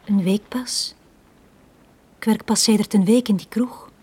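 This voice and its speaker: A Flemish actress playing a lonely, downtrodden young bar girl in a radio drama, speaking in a hushed, halting, confiding way. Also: medium pitch. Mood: downcast. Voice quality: breathy, hushed.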